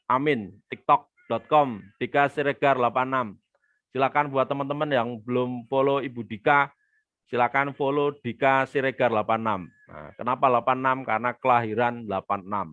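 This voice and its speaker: A man's voice: mid-range voice, fairly even intonation, a little fast, a bit bright, no room sound, dull audio. A man teaching online marketing on a live webinar, chatting with viewers and reading their comments aloud. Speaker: business trainer hosting a live webinar. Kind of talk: live-stream teaching with viewer shout-outs.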